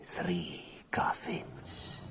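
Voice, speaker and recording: An actor playing a sinister stranger in a radio mystery, speaking formally and menacingly in a breathy whisper. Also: male voice, extremely slow, fairly bright tone. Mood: quietly menacing. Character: sinister male stranger claiming to have risen from coffin. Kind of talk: radio mystery drama acting.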